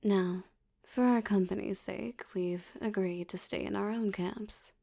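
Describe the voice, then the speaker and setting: Woman playing a slow, sultry, creaky mafia boss. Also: mid-range voice, fairly even intonation, warm tone. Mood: cool, businesslike. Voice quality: breathy, often dropping into whisper. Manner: teasing, slow, velvety, threatening. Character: seductive, menacing female mafia boss, obsessively flirting. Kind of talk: ASMR roleplay audio drama.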